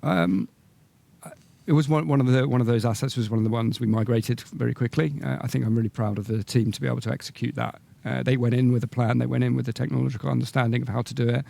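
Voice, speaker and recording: A male executive with a very creaky, gravelly voice and a mellow tone answers questions on an earnings call. He speaks in measured corporate phrases, often pausing and repeating words as he builds his answer. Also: medium pitch, steady tone.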